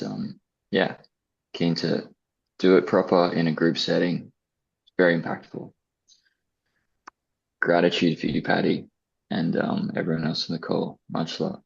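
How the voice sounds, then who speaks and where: Young man, just out of bed; deep gravelly husky voice, flat and laid-back, dull call audio. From Western Australia. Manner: laid-back, mumbled and loose. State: just woken up.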